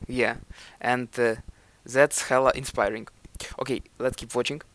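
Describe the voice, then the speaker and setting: A man's voice: medium pitch, steady tone, a little slow, a bit bright. A young man with a Ukrainian accent, a vlogger thoughtfully commenting on his own old video and searching for words as he goes.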